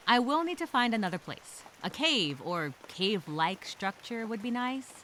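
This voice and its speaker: A woman playing a scared but determined castaway, speaking her survival journal as a halting, expressive dramatic monologue. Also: on the high side, a little hiss or hum, poor audio. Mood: focused, weary.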